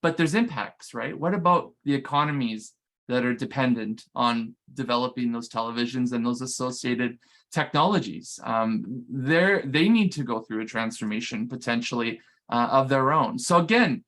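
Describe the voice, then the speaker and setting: A man's voice: mid-range voice, moderate pace, fairly bright tone. A man giving a conversational conference presentation, with frequent restarts and fillers. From Canada. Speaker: conference speaker.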